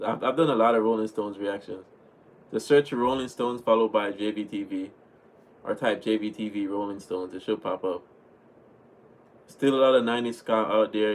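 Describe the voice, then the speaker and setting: Relaxed Jamaican man on a livestream. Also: fairly low pitch. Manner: relaxed, chatty, thanks viewers often. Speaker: livestream host reading chat. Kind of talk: casual livestream chat. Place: small home room indoors. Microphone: webcam at desk.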